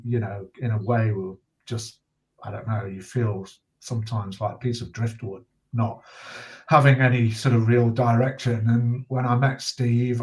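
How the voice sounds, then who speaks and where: A man in his sixties speaking as an interview guest, earnest and reflective, choosing his words hesitantly with pauses. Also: medium pitch, a little mellow, rough recording. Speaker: guest, retired journalist and charity campaigner.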